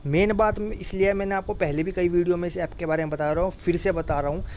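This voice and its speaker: A man's voice: high voice, steady tone, quick pace, darkish tone, slight background noise. A man giving an informal exam update to students in an insistent, repetitive way. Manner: repetitive, insistent, often tags phrases with "okay". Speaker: exam-news YouTuber and coach.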